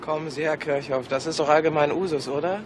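Woman playing a brisk, probing police detective. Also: deep voice, fairly even intonation, close to an everyday voice. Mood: cool, probing. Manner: businesslike, probing. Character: cool, persistent female police detective. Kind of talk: German radio play acting. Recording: noisy outdoor urban ambience behind.

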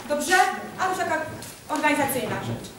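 A woman's voice: sits high, animated, moderate pace. A woman in her thirties hosting a ceremony, politely and formally making announcements to an audience. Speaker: ceremony host. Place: large hall at a public ceremony. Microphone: distant camera microphone. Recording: echoey hall from far off, noisy.

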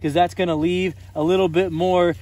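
A young man explains his farm work outdoors on a snowy field, recorded close on a phone camera. His voice sits a little high for a man, and his earnest explanations are laced with "uh" and "um.". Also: flat delivery, normal speed, a little mellow. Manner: explanatory, earnest, frequent "uh" and "um" fillers. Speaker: farmer. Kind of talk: on-site farm tour interview.

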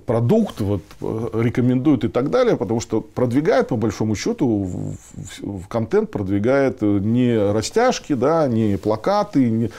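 Animated, mellow-voiced middle-aged man. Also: on the low side. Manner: emphatic, repeats phrases, acts out quotes. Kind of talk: interview answers, conversational. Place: furnished office, seated at a table.

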